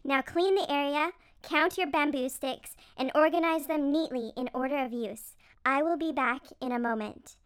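A high, dry-sounding voice for a storybook beaver leader played by a woman. She is strict and self-critical, a female boss worn down by worry. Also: moderate pace, dry recording, natural voice. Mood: stern. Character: stern, stressed female beaver team leader. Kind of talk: acted storybook character dialogue.

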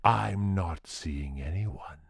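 A man voicing a vain, sly king cobra in a storybook, languid and self-admiring in a trance, with a raspy, hissing, breathy voice. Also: low voice, very slow, no room sound, clean studio sound, voice pushed down, full character voice. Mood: indifferent, disdainful. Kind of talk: storybook character voice.

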